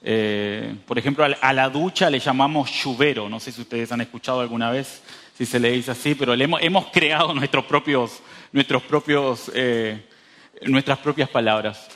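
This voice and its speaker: A man's voice: medium pitch, moderate pace, a bit bright. A man of thirty-five with a northern Uruguayan border accent preaching informally, speaking straight to his listeners and repeating phrases for emphasis. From northern Uruguay, near the Brazilian border. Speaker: preacher at a youth church meeting. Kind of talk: conversational sermon.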